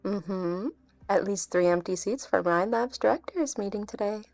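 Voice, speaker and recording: A woman's voice: medium pitch, moderate pace, creaky, darkish tone, no echo, faint music behind, close to an everyday voice. A woman playing a sly covert agent in a game fan dub, teasing and insinuating with cool menace. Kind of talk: scripted anime game dub acting.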